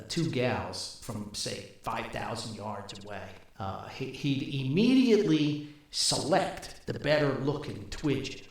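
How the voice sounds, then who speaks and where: An older man telling casual anecdotes as a podcast host, in a rambling, folksy manner. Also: medium pitch. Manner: rambling, folksy, chuckles at own stories. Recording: very echoey, reverberant room sound.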